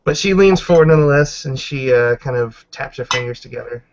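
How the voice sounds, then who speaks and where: A man with a mid-pitched voice narrates an improvised roleplaying game. He describes actions haltingly, piece by piece, and the recording is dull and lo-fi. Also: noisy background.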